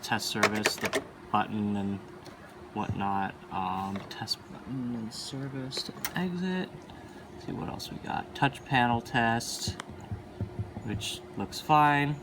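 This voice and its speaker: A man's voice: lowish voice, slow pace, pauses often, noisy background. A man giving casual, unscripted hands-on commentary as a hobbyist, offhand and often muttering to himself while he handles equipment. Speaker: hobbyist arcade machine video maker.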